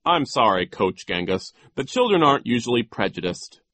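A man voicing a stuffy, condescending gentleman from a storybook, pompous and sternly reproving toward children. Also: mid-range voice, sing-song, normal speed, no echo. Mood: stern, patronizing.

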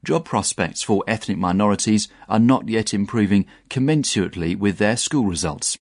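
Low husky man reading the news. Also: no room sound, studio quality. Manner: measured, even reading. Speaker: narrator reading a news article. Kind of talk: scripted narration.

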